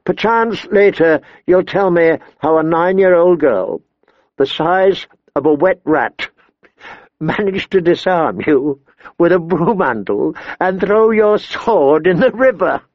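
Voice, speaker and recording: A man's voice: a little high, expressive, a little slow, creaky, dry recording, dull audio, full character voice. A man voicing a sardonic, courtly lord in an audiobook, amused and mocking.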